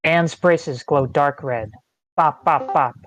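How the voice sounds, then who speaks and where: A man's voice: a little high, takes it slowly, a bit bright. A man reading stage directions as narrator at a screenplay table read.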